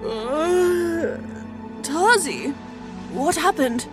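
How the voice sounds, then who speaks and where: A woman voicing a treacherous young man who talks with cold, mocking calm in a dramatized story. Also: sits high, drawn-out words, music in the background, exaggerated voice. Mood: sleepy. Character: treacherous young human man, smooth-talking.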